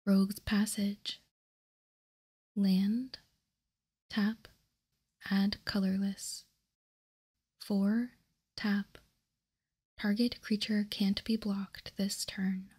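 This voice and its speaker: A woman whispering softly and deliberately in ASMR style, close to the microphone as she hosts. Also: medium pitch, level tone, slightly rough. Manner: soft, deliberate, unhurried whispering. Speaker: host. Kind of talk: whispered ASMR, reading trading cards aloud.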